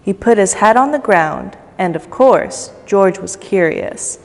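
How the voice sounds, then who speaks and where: A woman's voice: mid-range voice, expressive, unhurried, gravelly, a little mellow. A woman reading a children's story aloud at storytime, expressively, with playful asides to young listeners. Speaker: volunteer storybook reader. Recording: long echoey reverb, faint music behind.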